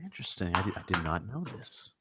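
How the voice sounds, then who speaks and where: A man hosting a live podcast chat, chatty and quick to laugh, with a stammer now and then. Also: deep voice, big pitch swings, heavy background noise. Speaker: podcast host. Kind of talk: casual livestream chat.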